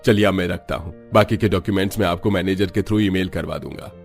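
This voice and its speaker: A man's voice: sits low, quick pace, vocal fry, soft background music. A man voicing a wealthy, businesslike older patriarch in a cartoon story.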